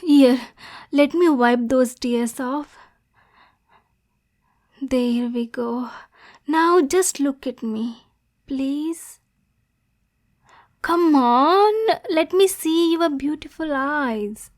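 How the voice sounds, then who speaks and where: A woman's voice: high-pitched, drawn-out words, pauses often. A woman playing a lonely, anxious, lovesick demon girl in an ASMR roleplay, stammering and pleading with coaxing endearments. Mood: pleading, tender.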